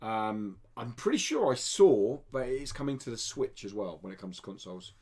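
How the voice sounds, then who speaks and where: Man, podcast host, mid-pitched, informal, explanatory, casual games news chat. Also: sing-song, moderate pace, dark tone, slightly rough. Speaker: podcast host reading indie game news. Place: indoor studio.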